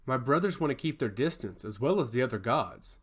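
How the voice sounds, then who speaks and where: Man voicing an earnest, expressive chaos god. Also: mid-range voice, fairly quick, husky. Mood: passionate. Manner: ranting, earnest, emphatic monologue. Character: passionate male god of chaos who loves humanity. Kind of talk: fantasy storybook character dialogue.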